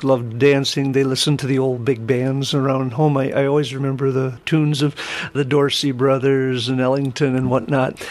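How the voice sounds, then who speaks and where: A middle-aged man, telling his story reflectively as an interview guest. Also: mid-range voice. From Wisconsin, United States. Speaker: interview guest, singer and musician.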